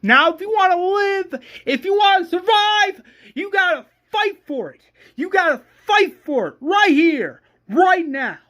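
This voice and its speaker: A storyteller acting out an enraged, desperate man in a survival horror tale. The voice is pushed high into strained shouting, hammering each demand with heavy, repeated emphasis. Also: big pitch swings, normal speed, hardly any pauses, breathy edge, full character voice. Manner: emphatic, repetitive ranting.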